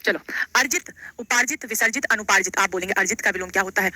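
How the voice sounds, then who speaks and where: A woman's voice: medium pitch, fast talker, pushed and bright, dry recording. A woman teaching an exam class live, repeating phrases, prompting students with check-in questions and addressing them with casual endearments. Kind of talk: live online Hindi grammar lesson, question by question.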